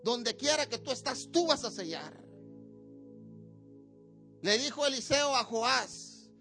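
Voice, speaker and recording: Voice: mid-range voice, lively intonation, takes it slowly, pushed and bright, faint music behind. A preacher delivering a sermon at a church service, with emphatic, repetitive exhortation.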